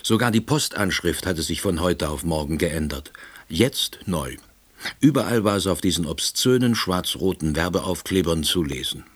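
Deep, husky, deadpan narrator. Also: male voice, moderate pace. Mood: dry, reflective. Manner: dry, wordy, deadpan. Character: wry, deadpan middle-aged East German ex-official narrating. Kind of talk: scripted first-person narration.

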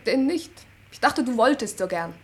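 A woman's voice: on the high side, very animated, normal speed. An actress playing a brash, dreamy, impatient woman in a radio play, blunt and colloquial.

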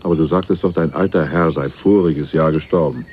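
A man's voice: rumbling low, brisk, phone-quality sound, a little hiss or hum. An actor playing a shrewd, watchful man in a radio crime drama, probing with wry questions. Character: shrewd, watchful male companion in a crime story. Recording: band-limited radio recording with music.

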